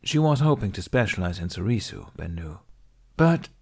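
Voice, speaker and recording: Low-voiced man narrating a story. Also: very animated, brisk, gravelly, husky. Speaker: narrator reading fan fiction. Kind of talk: scripted narration, expressive storytelling.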